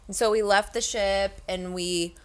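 A woman with a middling pitch tells stories in a casual, comic way. Her talk is slangy and freely profane, and she animatedly quotes herself and others as she goes. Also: fairly even intonation, unhurried.

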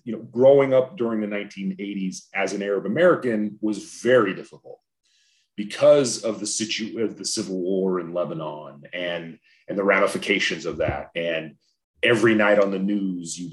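A man in his forties from Southern California, a podcast guest talking reflectively and earnestly, often restarting his sentences. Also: low voice. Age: 40s. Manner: reflective, earnest, frequent restarts and repeated words. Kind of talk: casual interview conversation.

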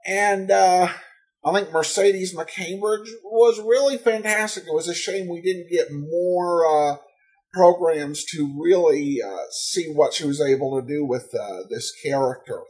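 A man hosting a podcast with loose solo commentary, halting and full of ums. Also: on the high side, a little mellow, poor audio. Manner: halting, many ums and broken phrases. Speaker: podcast host.

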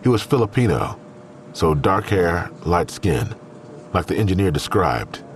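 Very deep-voiced male crime-drama narrator. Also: sing-song, unhurried, a little hiss or hum.

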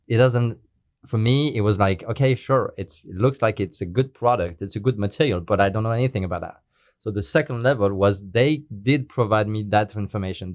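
Low-voiced man explaining methodically. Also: dry recording. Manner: careful, methodical, with non-native phrasing. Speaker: guest speaker. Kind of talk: explanatory interview answers.

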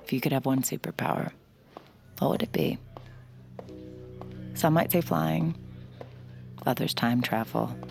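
A woman's voice: rumbling low, a little slow, vocal fry, long pauses, darkish tone, soft background music, heavily acted. An actress playing a wry, jaded young woman who reads minds and narrates her own story with dry sarcasm. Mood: wry narration. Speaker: narrator and lead. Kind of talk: audio drama acting.

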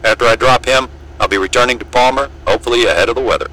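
A man's voice: mid-range voice, very fast, sharp tone, low-quality recording. An actor playing a breezy, matter-of-fact, seasoned male Antarctic pilot in an audio drama. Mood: businesslike. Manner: breezy, matter-of-fact, wry, salty-tongued. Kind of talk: naturalistic audio drama acting with aviation radio jargon.

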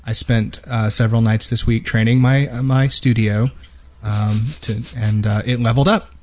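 A man's voice: mid-range voice, fairly even intonation, normal speed, darkish tone. A man co-hosting a gaming podcast from a home studio, chatting casually in a rambling, self-deprecating way close to the microphone. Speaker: podcast host. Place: home studio with unpadded walls. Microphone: boom-mounted microphone close in front of mouth.

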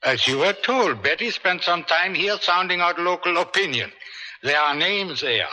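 An actor playing a cold, officious villain in a radio drama, speaking formally and with condescension. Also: male voice, high-pitched, lively intonation, normal speed, bright, forceful tone, full character voice. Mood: condescending. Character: cold, officious villain posing as government agent. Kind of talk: 1970s American radio drama acting. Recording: reverberant hall-like radio drama sound.

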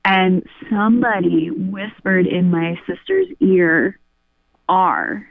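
A woman's voice: fairly low pitch, very animated, takes it slowly, creaky, no echo. A woman caller telling a story casually over a phone line. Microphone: phone call. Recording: narrow tinny phone line.

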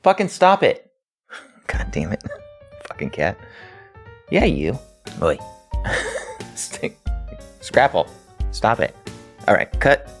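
A man's voice: mid-range voice, huge pitch swings, slow pace, pauses often, faint music behind. A man hosting a podcast, asking his guest questions in an eager, friendly way. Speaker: podcast host. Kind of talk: casual interview chat.

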